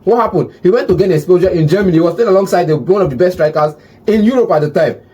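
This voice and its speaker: Hoarse man with a highish pitch, argumentative pundit who keeps repeating himself. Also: racing, dark tone. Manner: argumentative, repeats words while building a point. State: hoarse, says he has lost his voice. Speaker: football pundit. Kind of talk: heated debate.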